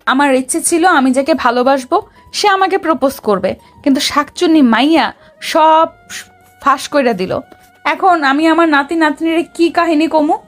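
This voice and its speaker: A woman's voice: sits high, moderate pace, a bit bright, faint music behind. A woman playing a feisty, sassy young officer in a romantic audio story, with a melodramatic manner. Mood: wailing, indignant. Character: feisty, dramatic young woman officer in love with her boss.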